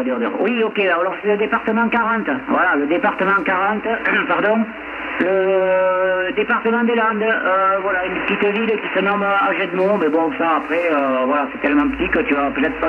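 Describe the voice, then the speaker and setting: A man from France chatting casually as a radio operator, rambling along and repeating his phrases, heard over a radio link. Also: high voice. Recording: tinny band-limited radio link, heavy static.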